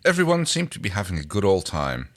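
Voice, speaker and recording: Male podcast reader, slightly deep, expressive range, informal storytelling delivery. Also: quick pace. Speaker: podcast co-host reading fan fiction aloud. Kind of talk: story reading with casual chat.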